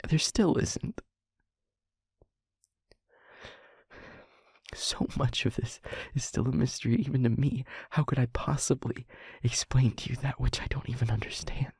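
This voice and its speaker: Man acting a breathy, whispering demon lover. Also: mid-range voice, no room sound, clean studio sound. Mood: distraught. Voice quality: breathy, husky, often whispering. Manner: halting, hushed, dramatic pauses. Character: male demon lover, guilt-ridden, confessing, tender and anguished. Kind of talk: intimate audio roleplay monologue.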